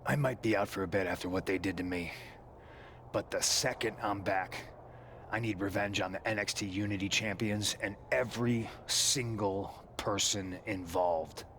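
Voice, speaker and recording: Low-voiced, bitter, suspicious male wrestler. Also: normal speed, fairly bright tone, slight background noise. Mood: bitter, vengeful. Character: embittered, vengeful, suspicious veteran male wrestler. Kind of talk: scripted video game cut-scene dialogue.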